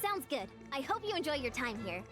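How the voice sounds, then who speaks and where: A woman voicing a confident, disciplined female fitness coach in an anime game, speaking in a measured, instructive way. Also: high voice, very animated, music in the background. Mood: friendly.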